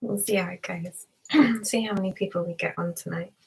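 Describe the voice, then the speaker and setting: A woman hosting an ASMR live stream, chatting to listeners in a hushed, soft voice that often drops into a whisper. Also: mid-range voice, moderate pace, gravelly, slightly rough, low-quality recording. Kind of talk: ASMR, soft whispering and soft talk with mouth sounds.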